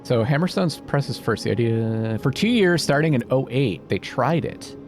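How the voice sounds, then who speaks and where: A man gives let's play commentary in a mid-pitched voice with highly varied intonation. He thinks aloud and reads text expressively. Game music is clearly heard behind him. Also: lively intonation, normal speed. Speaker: gaming video host.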